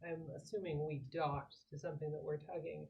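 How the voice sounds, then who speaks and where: A man's voice: fairly high pitch, little pitch movement, fairly quick, vocal fry, muffled recording. A man playing a tabletop roleplaying game, talking casually and asking questions as a player.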